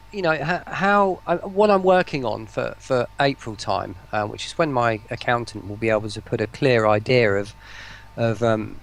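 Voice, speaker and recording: Man, creaky gravelly voice, mid pitch, hedging explanations, interview guest on a live stream. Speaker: guest, voice pack maker.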